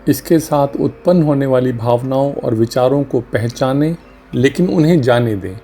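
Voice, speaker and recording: A man leading a guided meditation as a doctor, speaking gently and calmly in short phrases with long pauses. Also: medium pitch. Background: music under the voice.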